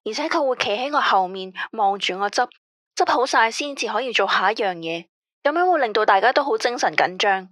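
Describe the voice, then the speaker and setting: An actress playing an anxious radio caller who speaks Cantonese with a Hong Kong accent in a tense, weary, complaining way. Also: female voice, fairly high pitch, animated, brisk, fairly bright tone, dry recording, clean studio sound. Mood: uneasy. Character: female caller, anxious about a controlling ex-husband. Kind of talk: radio drama acting.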